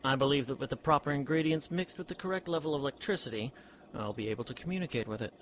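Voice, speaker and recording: A man's voice: medium pitch, quick pace, fairly bright tone, lightly acted. Man acting an eager eccentric scientist, noisy background. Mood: enthusiastic. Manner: precise, earnest, lecturing. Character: eager eccentric male scientist, brother of a detective. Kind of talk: period radio drama acting.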